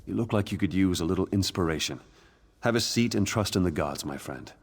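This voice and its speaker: A deep, husky male voice, a devout townsman in a fantasy game. He speaks as a friendly worshipper at ease with his faith. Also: lively intonation, a little fast, full character voice. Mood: serene, friendly.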